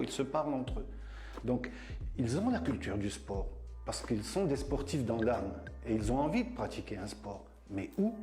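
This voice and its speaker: A man's voice: mid-range voice, warm tone. Emphatic man in an echoey room. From France. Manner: emphatic, repeats words for effect. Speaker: association leader. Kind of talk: interview monologue.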